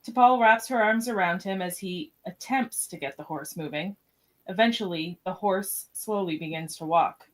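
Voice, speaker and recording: A woman's voice: on the low side, moderate pace. A woman reading scripted scene directions as narrator of a podcast radio play.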